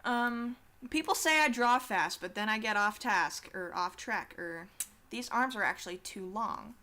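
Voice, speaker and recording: Teen girl rambling on while drawing at her desk, bright clear voice, swears a lot, pokes fun at herself. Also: medium pitch, unhurried. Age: teens. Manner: chatty, swears casually, self-deprecating asides. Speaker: artist streaming a drawing session.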